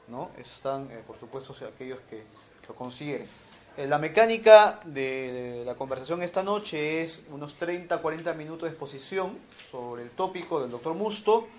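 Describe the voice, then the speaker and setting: A man's voice: on the high side, a little hiss or hum. A man moderating a university talk, introducing the speaker formally and often ending phrases with a tag question. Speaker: event moderator. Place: university lecture room.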